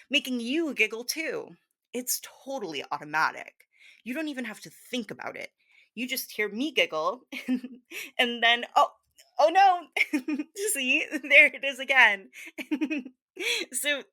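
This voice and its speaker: A woman's voice: mid-range voice, bright, forceful tone. A woman performing a playful hypnosis role-play, speaking in a giggly, scattered, cutesy and encouraging way. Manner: giggly, bubbly, scattered, with breaks into laughter. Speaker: hypnosis performer playing a confused teacher.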